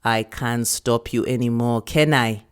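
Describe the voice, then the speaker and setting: Woman acting old village grandmother, very deep pitch, loving, teasing, coaxing, storybook tale. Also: fairly even intonation, a little slow, smooth. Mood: resigned. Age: older. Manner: coaxing, teasing, savouring her words. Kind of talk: storybook character voice.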